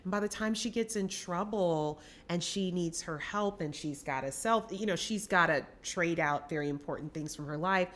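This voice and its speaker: Woman podcast cohost chatting about movies, lowish voice, pretty emphatic and opinionated. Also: normal speed, hardly any pauses, a bit bright. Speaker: film podcast co-host. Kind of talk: casual film discussion.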